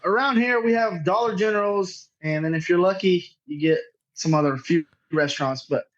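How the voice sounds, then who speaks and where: A man's voice: high-pitched, normal speed, dull audio. A man chatting casually as a podcast guest, informal and laid-back, with some swearing. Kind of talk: casual chat.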